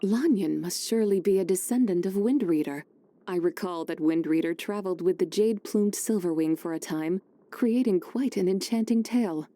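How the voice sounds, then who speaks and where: A woman voicing a serene, wise, wistful ancient female immortal in a video game, speaking formally in measured, genteel, old-fashioned phrases. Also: lowish voice, warm tone, close to an everyday voice. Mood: warm respect. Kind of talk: scripted game character voice lines.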